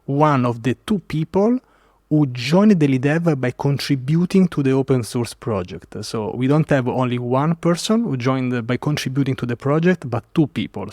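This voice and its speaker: Italian man, animated podcast guest. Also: mid-range voice, no room sound, clean studio sound. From Italy. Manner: animated storytelling with frequent fillers and restarts. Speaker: guest developer advocate. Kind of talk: casual podcast interview.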